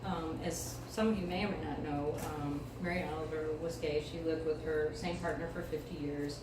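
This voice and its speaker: A middle-aged American woman giving a live poetry reading with casual introductions, phrasing expressively and deliberately. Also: deep voice, steady tone. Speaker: featured poet reading at a poetry event. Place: indoor live event space, mic far from speaker. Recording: distant, echoey room recording with clear noise.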